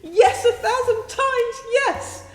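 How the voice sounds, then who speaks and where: A woman's voice: very high voice, slow. A woman playing a melodramatic, lovestruck lady admirer in a comic radio play, gushing theatrically. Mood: gushing, excited. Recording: echoey hall reverb, music behind.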